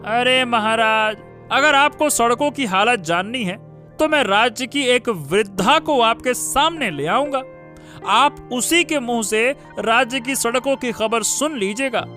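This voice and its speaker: A man's voice: extremely high pitch, expressive, measured pace, pushed and bright, faint music behind, exaggerated voice. A man voicing a fawning, scheming royal minister in a cartoon story, stammering and wheedling as he talks. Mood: coaxing. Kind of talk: Hindi cartoon story voice acting.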